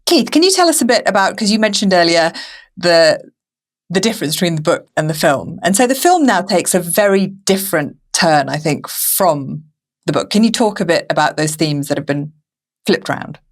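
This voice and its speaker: A woman's voice: on the low side, lively intonation, normal speed. A woman hosting a podcast, talking informally and thinking aloud in a casual interview. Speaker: podcast host.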